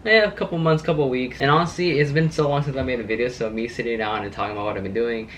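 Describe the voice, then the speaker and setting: A man's voice: medium pitch, fast, fairly bright tone, a little hiss or hum. A man in his late twenties chatting casually to his vlog audience, rambling and laid-back with plenty of fillers. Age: 20s. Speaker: car vlogger.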